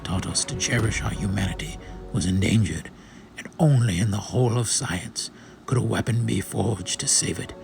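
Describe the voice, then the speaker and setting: Grave, low, gravelly male narrator. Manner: grave, solemn, theatrical. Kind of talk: dramatic first-person scripted narration of a space comic. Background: music.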